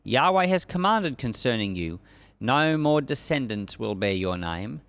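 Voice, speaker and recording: A man's voice: mid-range voice, big pitch swings, a little slow, a bit bright, dry recording. A man preaching and teaching from the Bible in an emphatic, conversational way. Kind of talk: Bible reading and informal expository sermon.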